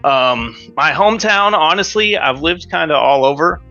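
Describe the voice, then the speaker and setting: A man's voice: fairly high pitch, expressive, moderate pace, pushed and bright. A man in his late twenties from the western United States, chatting casually as an interview guest over dull lo-fi audio. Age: late 20s. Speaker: podcast guest.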